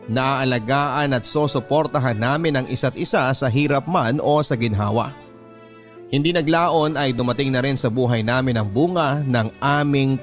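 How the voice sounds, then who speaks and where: A man with a Filipino accent reading first-person dramatic narration as a radio drama storyteller, in a measured, sentimental way. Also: mid-range voice. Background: music bed.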